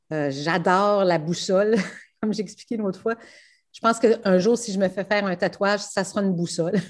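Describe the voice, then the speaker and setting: A woman speaks French with a Québécois accent at a middle pitch. She sounds enthusiastic and keen, laughing between answers and filling pauses with "euh" as she talks about her passion. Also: a little mellow. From Quebec. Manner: enthusiastic, laughs often, many fillers. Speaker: rally co-driver. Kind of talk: interview answers.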